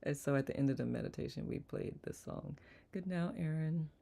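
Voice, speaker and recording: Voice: medium pitch, fairly quick, gravelly. A meditation livestream host chatting casually, greeting viewers by name, reading their comments aloud and laughing easily. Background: soft guitar music.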